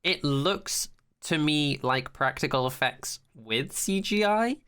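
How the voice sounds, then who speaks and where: Podcast co-host, bright and clean-toned, mid-pitched, enthusiastic and sweary in casual recap chat. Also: animated, takes it slowly, clear, resonant tone.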